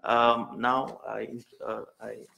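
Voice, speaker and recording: Guy reading off a speaker intro, monotone and gravelly, lots of ums. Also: mid-range voice, very slow, heavy background noise. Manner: reads lists flatly with many fillers. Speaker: event moderator.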